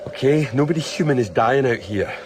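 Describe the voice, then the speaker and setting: A man's voice: mid-range voice, animated, a little fast, noisy background, close to an everyday voice. A man playing a grim, terse, commanding member of a polar research station in an audio drama. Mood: grim resolve.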